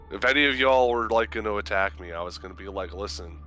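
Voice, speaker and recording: Male game player, deep but crisp-edged voice, casual, light music underneath. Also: sharp tone. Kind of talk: casual group game chat.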